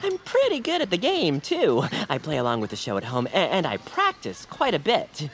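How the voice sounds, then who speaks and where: A woman's voice: low-pitched, wildly swooping pitch, normal speed. A woman playing an eager, chatty, self-assured young male bird in a family audio drama, gushing and rambling with nervous giggles. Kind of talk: family audio fiction acting.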